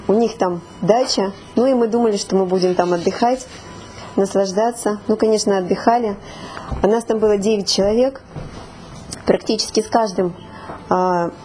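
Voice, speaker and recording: Hoarse woman, hesitant storyteller, mellow darker tone, faint noise. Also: mid-range voice, poor audio. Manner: hesitant, many fillers. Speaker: participant. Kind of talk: casual storytelling.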